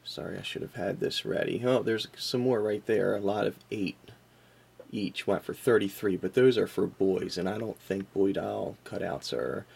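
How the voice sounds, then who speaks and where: A man with a lowish, creaky voice that is noticeably rough and husky. He talks casually and without a script, like a vlogger who sells collectibles. His manner is folksy and self-deprecating, and he tends to ramble off topic. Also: moderate pace, darkish tone. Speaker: vlogger and online reseller of vintage collectibles. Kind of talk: casual, rambling unscripted vlog talk.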